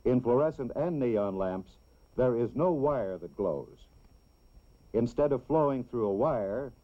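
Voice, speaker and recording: A man narrates a scripted educational film for children in a measured, clearly explanatory way. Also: medium pitch, expressive. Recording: dull, lo-fi old film soundtrack.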